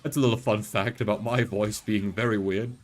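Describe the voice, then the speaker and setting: A man in his twenties with a deep voice, streaming a game and rambling casually, reassuring his chat with lots of fillers. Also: fairly even intonation, brisk. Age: 20s.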